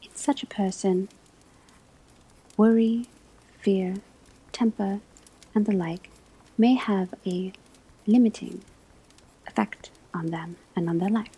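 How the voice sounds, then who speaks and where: A woman playing a calm, scholarly professor at a witch school, whispering a lecture in a measured, deliberate ASMR roleplay. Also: mid-range voice, rough recording. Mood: calm, instructive. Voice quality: breathy, soft whisper. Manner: measured, deliberate phrasing with frequent pauses. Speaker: ASMR performer playing a teacher.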